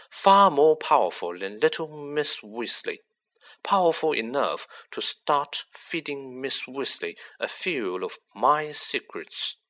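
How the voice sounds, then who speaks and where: A man voicing a cold, manipulative teenage boy sorcerer who talks softly and gloats. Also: mid-range voice, exaggerated voice. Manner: silky, soft and gloating.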